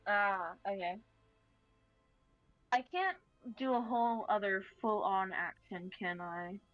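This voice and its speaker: A woman's voice: medium pitch, slow, dry recording. A woman playing a tabletop roleplaying game, chatting casually in a hesitant, questioning way. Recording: dull, dry audio with faint music. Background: faint music.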